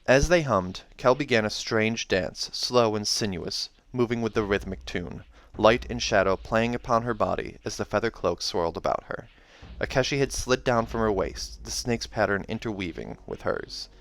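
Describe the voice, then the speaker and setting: A man reading a fantasy novel aloud in an expressive narrating style, as a reader who also comments casually. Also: on the low side, normal speed, few pauses.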